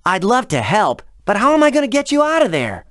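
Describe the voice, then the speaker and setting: A man's voice: high voice, huge pitch swings, fairly quick, fairly bright tone, no room sound, studio quality, full character voice. A man voicing a cheerful, joking young male dragon hero in a cartoon video game. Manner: breezy, joking.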